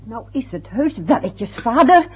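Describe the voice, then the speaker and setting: A woman's voice: a little high, sing-song, unhurried, slightly rough, exaggerated voice. A middle-aged woman playing a weary, reproachful wife and mother in a radio drama. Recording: dull lo-fi old radio recording.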